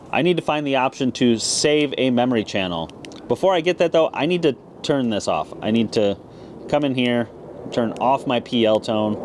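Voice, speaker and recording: A man giving a casual, plain-spoken, step-by-step product tutorial as a video host. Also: mid-range voice. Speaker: ham radio video host. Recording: clear background noise.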